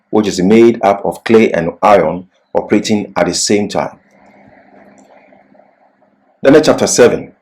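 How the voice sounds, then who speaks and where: A man giving a scripted Bible lecture as a teacher, with deliberate, emphatic phrasing and pauses for explanation. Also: on the low side, a little mellow, low-quality recording.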